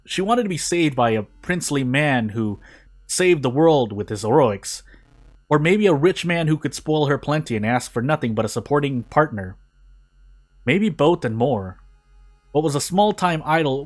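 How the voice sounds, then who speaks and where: A man's voice: fairly high pitch, expressive, moderate pace. A man informally reading a story aloud, now and then stumbling and rereading phrases. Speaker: fan fiction reader on a gaming video.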